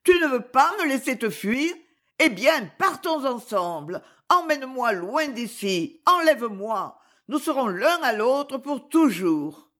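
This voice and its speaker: A woman's voice: sits high, big pitch swings, unhurried, a bit bright, pitched above the performer's own voice, full character voice. A woman reading an audiobook, voicing a young noblewoman in passionate despair with an impassioned, pleading, trembling delivery.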